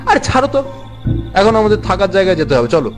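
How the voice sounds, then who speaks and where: A man playing a brash, excitable young man who scoffs at ghost tales in a horror story. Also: high-pitched, fast talker, soft background music. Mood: dismissive. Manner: excitable, dismissive, questioning. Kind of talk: acted horror story dialogue.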